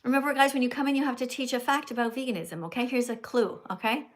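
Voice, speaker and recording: A high-pitched older woman chatting through a livestream in a teacherly way. She keeps tagging her lines with "okay?" and "right?" as she coaches callers and thanks viewers. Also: fast talker. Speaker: livestream host. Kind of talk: casual livestream chat with callers.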